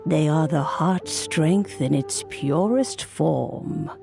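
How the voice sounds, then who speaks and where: An actress playing an ancient, wise and proud immortal woman in a video game, telling lore in a measured, solemn, theatrical way over music. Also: deep voice, creaky, heavily acted. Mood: earnest, explaining. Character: ancient immortal female adeptus, wise, poised, proud storyteller. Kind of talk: scripted video game dialogue and narration.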